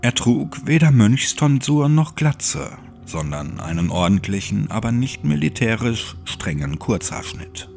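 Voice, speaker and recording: A man's voice: lowish voice, gravelly, a little mellow. A man narrating a scripted horror audiobook in a brooding, dramatic manner. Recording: music under the voice.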